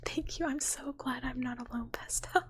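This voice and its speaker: A woman livestreamer chatting with viewers in a playful, cutesy ASMR style, in a breathy whisper. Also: high voice, normal speed, a little hiss or hum.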